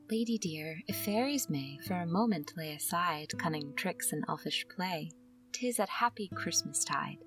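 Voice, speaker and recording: Woman reciting verse over guitar music. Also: on the low side. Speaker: reader in a theatre company's audio series. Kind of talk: poetry recitation. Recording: prominent music under the voice. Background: plucked guitar music.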